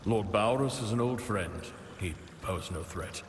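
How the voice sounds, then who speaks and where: A grave, noble fantasy-game governor, low-voiced with a wide, expressive range. He speaks with formal, measured command and cautious dignity. The voice rings with long reverb. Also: slight background noise. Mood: solemn. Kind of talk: dramatic fantasy game acting. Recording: roomy long reverb, music behind.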